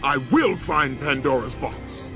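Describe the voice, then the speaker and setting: An actor playing a wrathful, battle-hardened Greek warrior in a video game, speaking in a grim, forceful declamation. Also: male voice, mid-range voice, sing-song, unhurried, music in the background. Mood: vengeful fury. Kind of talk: dramatic video game acting.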